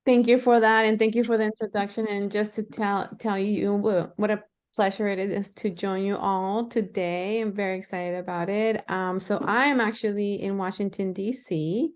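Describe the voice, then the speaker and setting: A woman's voice: mid-range voice, fairly even intonation, normal speed. A woman in her fifties, raised in El Salvador, telling childhood stories as a guest in a live podcast interview, with a reflective, conversational manner and a few Spanish phrases. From Los Angeles, grew up in El Salvador.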